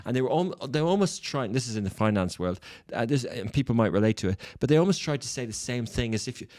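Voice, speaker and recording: A man in his thirties with an Irish accent, a podcast guest calmly recounting his career in a measured, reflective way. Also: medium pitch, no room sound. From Ireland. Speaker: podcast guest, media founder. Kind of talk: conversational interview.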